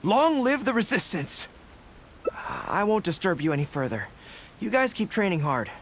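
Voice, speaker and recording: An actor playing a young, earnest and sincere general in a video game, gentle and loyal to his soldiers. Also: high voice, big pitch swings, moderate pace, a little hiss or hum. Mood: resolute.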